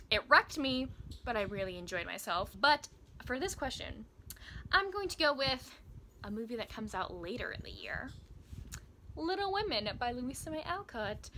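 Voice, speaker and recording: An American woman in her twenties chatting casually to camera as a book vlogger, gushing and emphatic in an expressive way. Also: sits high, a little hiss or hum. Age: 20s. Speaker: book video blogger. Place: small indoor room by bookshelves.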